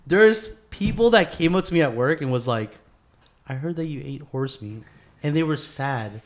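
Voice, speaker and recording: A man's voice: on the high side, expressive, normal speed. A young man, a podcast host, chatting casually with friends in a boisterous, slangy way with plenty of swearing. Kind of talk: casual chat between friends.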